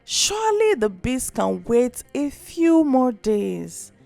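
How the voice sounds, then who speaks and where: Woman voicing sugary, scheming jealous sister, high-pitched. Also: big pitch swings, slow, vocal fry, darkish tone, no room sound, faint music behind, exaggerated voice. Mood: falsely sweet. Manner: sugary, coaxing.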